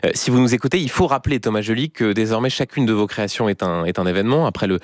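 A man with a fairly low voice conducts a live radio interview. He speaks as a host, haltingly reworking his questions as he goes. The sound is close and dry, as in a broadcast studio. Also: dry recording. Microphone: close studio microphone.

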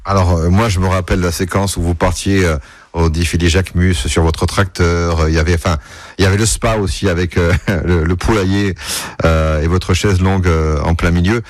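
Low-voiced radio interviewer, polite. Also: male voice, steady tone, brisk, dry recording, studio quality. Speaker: radio reporter.

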